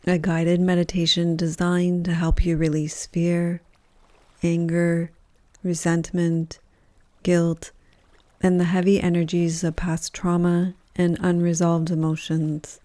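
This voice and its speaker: A woman leading a guided yoga nidra meditation in a calm, gentle, measured voice with soft pauses between phrases. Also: on the low side, no room sound. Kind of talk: guided meditation, soothing scripted narration.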